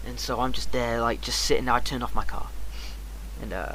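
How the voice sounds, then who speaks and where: A man's voice: medium pitch, flat delivery, a little fast, bright, forceful tone, a little hiss or hum. A man casually telling a personal story as a streamer, in an emphatic, slangy, heavily profane way.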